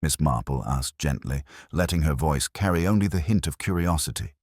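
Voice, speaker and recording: Very low-pitched man, lively intonation, audiobook mystery narration, measured and formal, dry close sound. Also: breathy edge, dry recording. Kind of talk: scripted mystery story narration.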